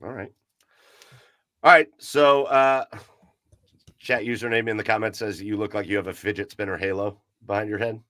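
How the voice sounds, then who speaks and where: A man's voice: on the low side, fairly even intonation, normal speed, pauses often, a bit bright, dry recording, studio quality. A man hosting a casual live podcast, chatting and reading comments in an upbeat, announcer-like way. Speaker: podcast host. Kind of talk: casual livestream chat.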